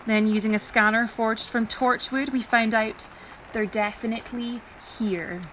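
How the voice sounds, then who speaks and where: Woman playing a bright, brisk military ringleader. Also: a little high, steady tone, slight background noise, rough recording. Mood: businesslike. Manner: brisk, sardonic. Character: Daisy, brisk bossy sardonic female military ringleader. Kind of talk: audio drama acting.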